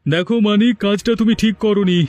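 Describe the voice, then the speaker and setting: Stern cartoon village official played by a man, quick and flat-toned, threatening, light music under. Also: high-pitched, close to an everyday voice. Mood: stern, warning. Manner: admonishing, officious. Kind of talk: animated folk-tale cartoon acting.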